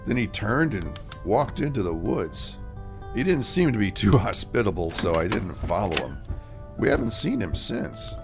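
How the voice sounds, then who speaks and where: A man playing a relaxed, chatty middle-aged cabin owner in an amateur radio drama. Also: fairly low pitch, normal speed, creaky. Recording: music score behind.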